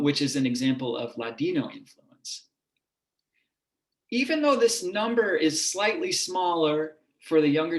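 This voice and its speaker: Professor type, man, fairly high voice, steady explanatory lecture, roomy echo. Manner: measured and explanatory, with occasional restarts. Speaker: university linguistics professor giving a public talk. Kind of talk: lecture presentation. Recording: echoey room.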